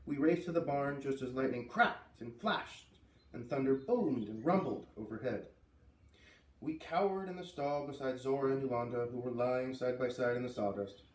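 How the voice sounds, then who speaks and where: A man with a medium-pitched voice reads a children's story aloud. His delivery is expressive, with dramatic pauses. The recording is roomy and slightly distant. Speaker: storybook reader. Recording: roomy, slightly distant, faint background noise.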